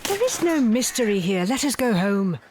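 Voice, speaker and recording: A woman playing an imperious, aloof reptilian lady detective of Victorian times in an audio drama, haughty, measured and commanding. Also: a little high, big pitch swings, very noisy. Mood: dismissive.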